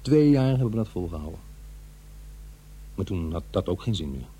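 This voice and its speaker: A low-voiced, uneasy middle-aged father. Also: sing-song, dark tone, husky, full character voice. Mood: agitated, rueful. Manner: halting, hesitant, self-interrupting. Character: divorced middle-aged father, uneasy and defensive office man. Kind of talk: radio drama monologue and dialogue, conversational confiding delivery. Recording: faint noise and hum.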